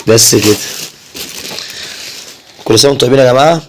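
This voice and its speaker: Egyptian male family vlogger, rapid informal chat, repetitive, viewer-directed delivery. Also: medium pitch, darkish tone, a little hiss or hum. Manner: repeats himself, often addresses viewers.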